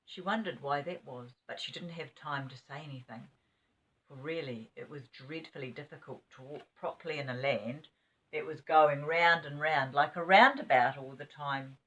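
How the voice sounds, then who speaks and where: A woman's voice: sits low, moderate pace, fairly bright tone, recorded from a distance, highs cut off, slight background noise. An older woman reading a children's storybook aloud as a grandmother would, expressively and with small asides to her listeners.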